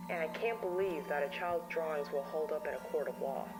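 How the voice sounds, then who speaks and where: A woman's voice: low voice, fairly quick, lightly acted. A woman playing a shaken investigator who narrates a horror story as a taped confession. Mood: alarmed. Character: shaken woman recounting her investigation on a tape to John. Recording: music behind the voice.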